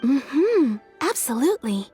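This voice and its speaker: A female kimono shopkeeper in a video game. The voice is high, courteous and businesslike, with faint music underneath. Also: very animated, slow pace, dark tone, full character voice. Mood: pleased. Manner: polite, businesslike. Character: courteous, helpful female kimono shop merchant.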